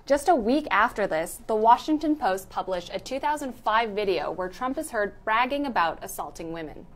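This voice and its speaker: A woman's voice: mid-range voice, animated, moderate pace. A woman reading scripted narration for a news explainer.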